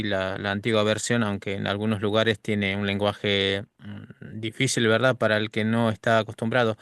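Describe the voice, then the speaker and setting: A man whose first language is Quechua, sharing his Bible reading habits in a gentle, halting way. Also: lowish voice, smooth, dry recording, clean studio sound. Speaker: podcast guest. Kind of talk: personal testimony.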